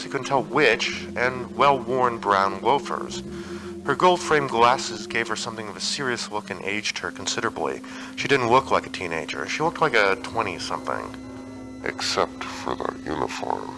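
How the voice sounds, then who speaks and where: A man's voice: lowish voice, gravelly, rough recording. A man reading a piece of fiction aloud as a narrator, in a scripted audiobook style.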